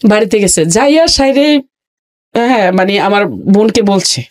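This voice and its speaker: A woman with a Bangladeshi accent recounting her own life in an interview, in a halting, repetitive, colloquial way. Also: mid-range voice, animated, a little mellow. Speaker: guest telling own life story. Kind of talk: personal storytelling in an interview.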